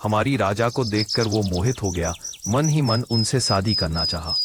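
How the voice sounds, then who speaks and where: A man playing a grave holy man recounting a cartoon tale in a measured, explanatory way. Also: lowish voice, expressive, very noisy, close to an everyday voice. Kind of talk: Hindi cartoon story narration.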